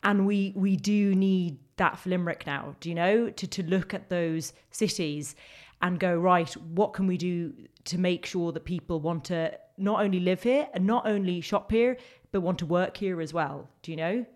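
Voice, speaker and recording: A woman's voice: lowish voice, normal speed, few pauses. London-accented woman, earnest and chatty. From England. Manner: earnest, animated, many fillers. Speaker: guest, local election candidate. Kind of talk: casual podcast conversation.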